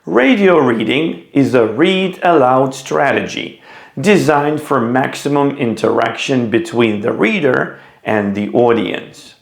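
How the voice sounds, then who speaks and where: A male teacher explains classroom reading methods at an unhurried, deliberate pace. His pitch moves animatedly up and down, with a gravelly touch on low notes. The sound carries a roomy echo. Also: animated. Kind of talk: instructional video talk.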